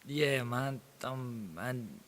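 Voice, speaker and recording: A man's voice: mid-range voice, very slow, fairly bright tone. A young Irish man, a professional boxer, talking confidently and plainly in an interview. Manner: confident, swaggering, plain-spoken. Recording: faint hiss.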